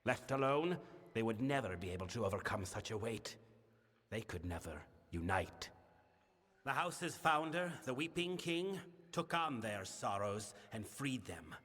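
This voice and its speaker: A male actor voicing a grave, burdened fae king in a fantasy game, speaking formally and in a measured, solemn manner. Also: lowish voice, fairly bright tone, breathy edge, full character voice. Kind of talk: scripted fantasy game dialogue. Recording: long reverberant hall, music behind. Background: music.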